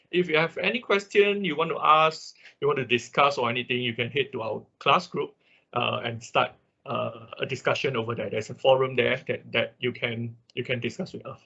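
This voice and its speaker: A man giving an informal online class as an instructor, speaking haltingly with a husky voice and frequent repeats. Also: medium pitch, sharp tone. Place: online video call. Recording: dull lo-fi online meeting audio.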